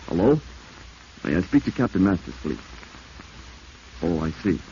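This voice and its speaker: A man's voice: low voice, very animated, moderate pace, dark tone. An actor playing a desperate, domineering corrupt lawman plotting murder, clipped and commanding, in 1940s radio drama style. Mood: controlled, scheming. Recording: muffled hissy vintage radio recording.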